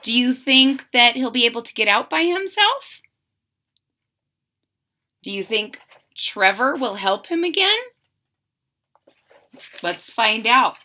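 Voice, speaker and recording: A woman reading a picture book aloud in a theatrical, drawn-out way, coaxing young listeners with questions and explanations. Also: a little high. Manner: animated, theatrical, drawn-out emphasis; coaxing, explaining asides to children. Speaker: children's story reader and channel host.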